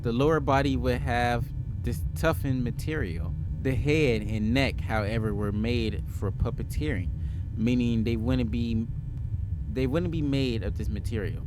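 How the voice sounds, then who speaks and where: A man's voice: mid-range voice, noisy background. A man giving casual reaction commentary, reading text aloud and breaking in with slangy, informal exclamations. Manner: slangy, informal, exclaims between read lines. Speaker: host of a horror reaction video.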